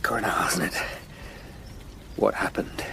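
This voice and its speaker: An actor playing an anxious, sharp-minded Tudor lawyer investigating crimes in a period radio drama. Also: male voice, low voice, unhurried, creaky, noisy background. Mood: shaken, worried.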